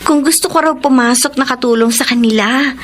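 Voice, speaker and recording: A woman's voice: high-pitched, brisk, slight background noise, lightly acted. A woman playing a humble, hardworking young housemaid in a radio drama, speaking politely and earnestly. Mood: eager. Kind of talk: Filipino radio drama acting.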